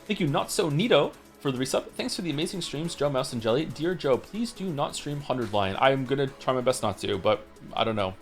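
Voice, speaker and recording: Animated fast-talking man streamer. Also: medium pitch, soft background music. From Wales. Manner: animated, reads messages aloud quickly with wry asides. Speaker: streamer reading chat messages. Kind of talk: casual livestream chat.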